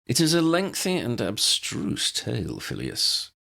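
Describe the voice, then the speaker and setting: A man voicing a bookish, courtly uncle in an audiobook, measured, wordy and wry. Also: mid-range voice, wildly swooping pitch, creaky, sharp tone, heavily acted. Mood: courtly, grateful. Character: bookish, courtly uncle with ornate speech and calm wit.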